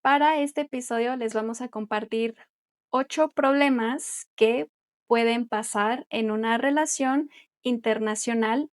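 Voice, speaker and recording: A woman's voice: on the high side, unhurried. A Mexican woman who teaches Spanish, co-hosting a casual podcast and explaining things in a chatty way with light laughs. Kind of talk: casual conversational podcast chat.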